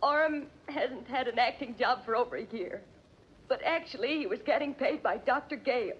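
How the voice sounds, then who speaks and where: An actress playing a distraught, pleading wife accused of murder, in flustered 1940s radio acting on a muffled old recording. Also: female voice, a little high, moderate pace, breathy edge. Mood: pleading, anxious. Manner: pleading, flustered, breathless protests. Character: distraught wife accused of killing her husband.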